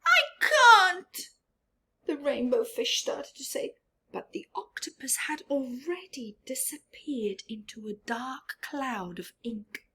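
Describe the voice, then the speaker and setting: A woman reading a children's storybook aloud expressively, with chatty asides and often hushed tones. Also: a little high, expressive, unhurried, husky. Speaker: storyteller, teacher-like reader.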